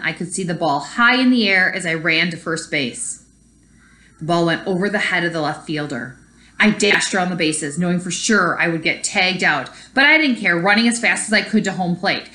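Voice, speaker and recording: A woman's voice: lowish voice, bright, forceful tone. A woman teacher reading a children's story aloud expressively and explaining it to young pupils. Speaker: elementary school teacher.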